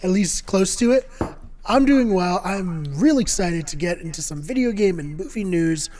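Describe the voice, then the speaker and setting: A man co-hosting a casual video game podcast, his voice going hoarse, talking in an upbeat, jokey way with rambling anecdotes. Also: high voice, moderate pace, a little mellow, slight background noise. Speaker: podcast co-host. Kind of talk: casual chat.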